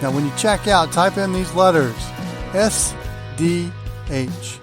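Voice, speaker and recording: Higher-voiced male sports show host. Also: takes it slowly, over music. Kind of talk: conversational sports radio hosting.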